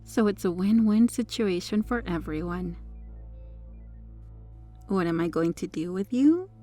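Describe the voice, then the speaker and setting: A woman's voice: mid-range voice, animated, unhurried, dark tone, faint music behind, lightly acted. A woman playing a sweetly menacing, possessive superheroine kidnapper in an intimate ASMR roleplay, coy, smug and coaxing as she lingers over her words.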